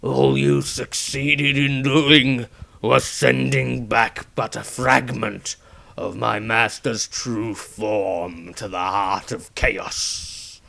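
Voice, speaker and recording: Man voicing a slow, menacing dying cult villain. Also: medium pitch, steady tone, vocal fry, sharp tone, full character voice. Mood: gloating, menacing. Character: dying male cultist villain, fanatical, gloating and menacing.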